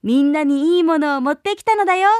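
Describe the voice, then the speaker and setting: A woman's voice: sits high, expressive, moderate pace, no room sound, clean studio sound. A woman voicing a sly male wolf who pretends to be the mother goat, coaxing the kids in a storybook reading. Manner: coaxing, then threatening.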